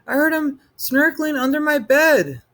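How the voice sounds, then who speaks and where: A man doing a squeaky, panicky little boy. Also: animated, unhurried, a bit bright, full character voice. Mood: alarmed. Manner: high, panicky and pleading. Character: frightened little boy in bed.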